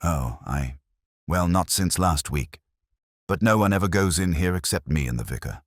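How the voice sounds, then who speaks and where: A man's voice: sits low, expressive, normal speed, no echo, studio quality. A man voicing a flustered, hesitant church caretaker in a mystery story.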